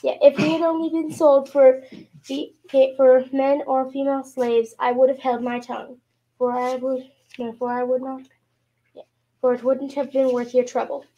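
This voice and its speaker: Woman playing a high-voiced, halting queen. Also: level tone, warm tone, muffled recording. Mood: hesitant pleading. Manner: halting, stumbling script reading. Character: queen pleading for her people. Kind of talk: amateur radio play acting.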